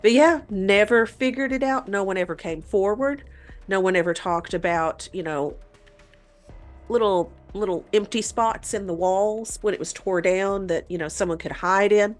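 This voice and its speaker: A woman's voice: mid-range voice, normal speed, soft background music. A woman co-hosting a talk show, telling a story in a conversational way. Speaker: podcast host telling a paranormal story.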